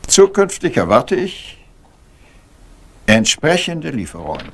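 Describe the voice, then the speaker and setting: An actor playing a courteous, meticulous private detective in a German crime radio drama, speaking formally. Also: male voice, fairly high pitch, wildly swooping pitch, slow pace, fairly bright tone, breathy edge, exaggerated voice. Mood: fussy, exacting. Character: fussy, courteous middle-aged male private detective.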